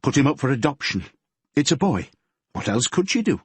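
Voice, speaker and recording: A man's voice: medium pitch, sing-song, brisk, breathy edge, dry recording, pitched above the performer's own voice. Man voicing a grieving Yorkshire mother. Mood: sorrowful. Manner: gentle, fretful, homely. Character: grieving middle-aged Yorkshire mother, polite, tearful. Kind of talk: audiobook character voice.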